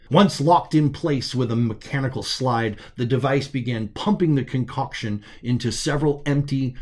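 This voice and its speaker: A man with a medium-pitched voice narrates a science fiction audiobook. His delivery is scripted and measured, using dramatic pauses for weight. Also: darkish tone. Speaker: author narrating own story.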